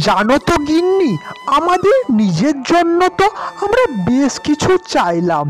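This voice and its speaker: A humble, kindly village husband, acted by a cartoon performer, talks earnestly in a high voice. His intonation rises and falls freely. He sounds agreeable and modest, with faint music behind. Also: full character voice.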